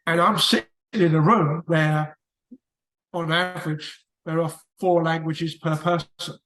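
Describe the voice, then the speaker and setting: A man's voice: on the high side, steady tone, unhurried, a bit bright, roomy sound. A man from the UK with a British accent, chatting casually in a book club discussion, rambling and often restarting his sentences. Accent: British English.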